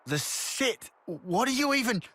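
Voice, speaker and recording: A man's voice: very high-pitched, sing-song, measured pace, bright, forceful tone, husky, full character voice. An actor playing a brash, impatient young fighter in a video game, blunt and casual in his talk. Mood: confused, agitated. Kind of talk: video game cutscene voice acting.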